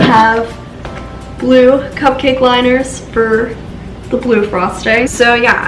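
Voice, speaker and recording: A congested young woman walks through a baking tutorial in a chatty, unhurried way, leaning on "so" and "just." Her voice sits a little high and sounds stuffy. She is recorded from a distance in a roomy space, with faint music underneath. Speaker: baking channel host.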